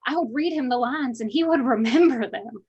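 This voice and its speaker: An actress playing a bookish, wistful mountain daughter in a stage monologue, storytelling lyrically with an Appalachian accent. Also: female voice, high voice, normal speed, darkish tone, slightly rough, muffled recording. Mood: fond, wistful. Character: bookish, wistful mountain daughter reminiscing about her father.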